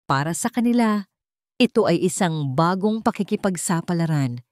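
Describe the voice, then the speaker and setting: A woman with a Filipino accent reading a dramatic scripted story in measured, emotive short phrases. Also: fairly low pitch, animated, a little mellow, no echo, clean studio sound. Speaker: story narrator.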